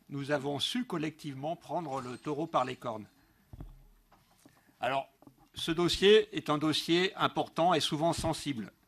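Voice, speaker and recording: A man's voice: on the high side, a bit bright, breathy edge. A middle-aged man delivering a prepared political speech at a council meeting in a formal, emphatic manner, stumbling now and then as he reads. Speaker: local elected councillor. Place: large council meeting room, indoors. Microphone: gooseneck desk microphone.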